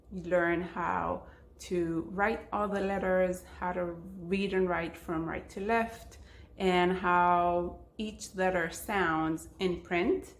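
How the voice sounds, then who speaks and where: Female language teacher, Israeli accent, native Hebrew speaker. Slow, even-pitched delivery with emphasis on key words, in a roomy space. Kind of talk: instructional talk to camera.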